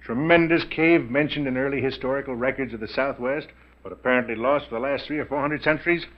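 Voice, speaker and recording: A man's voice: medium pitch, quick pace, lightly acted. An actor playing a boyish, adventurous wealthy businessman, chummy and eager as he talks in a 1940s radio mystery. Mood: absorbed storytelling. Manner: hearty, chummy, storytelling. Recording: dull 1940s radio recording.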